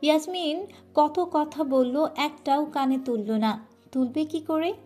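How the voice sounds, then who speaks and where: Mellow-voiced woman narrating a dramatic story. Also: high-pitched, slow pace, faint music behind. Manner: emotive, dramatic reading with occasional restarts.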